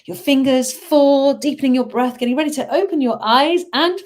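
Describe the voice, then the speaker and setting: Soothing woman hypnotherapist, slightly high. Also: a little mellow. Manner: soothing, slow guiding cadence. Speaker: hypnotherapist and host. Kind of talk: guided hypnosis and casual chat.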